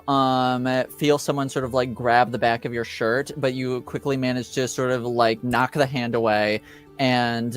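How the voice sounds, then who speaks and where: A man of medium pitch narrates as game master in a tabletop game. His phrasing is halting and improvised as he describes scenes and asks for rolls. Music plays behind his voice. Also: smooth.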